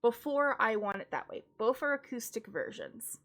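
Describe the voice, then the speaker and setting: A woman's voice: lively intonation, normal speed, fairly bright tone. A young woman, a classical singer streaming live, chatting casually with lots of restarts and giggly asides. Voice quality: high singing voice. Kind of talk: casual stream chat, then singing along.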